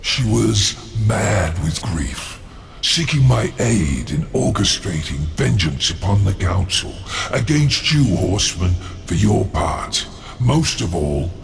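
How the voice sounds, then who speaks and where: A man's voice: lowish voice, gravelly, slight background noise, full character voice. A man voicing a sly, self-serving demon lord in a video game, smug and eloquent. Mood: measured, evasive. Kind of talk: theatrical video game acting. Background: music.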